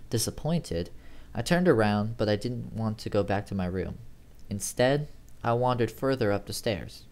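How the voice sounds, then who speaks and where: Amateur horror narrator, medium-pitched voice, dramatic first-person storytelling. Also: a little mellow.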